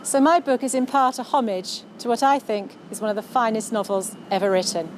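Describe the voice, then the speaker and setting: A woman author giving a measured, articulate scripted presentation about her own book, speaking outdoors on city streets. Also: a little high, fairly even intonation, a little hiss or hum. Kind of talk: scripted promotional narration to camera.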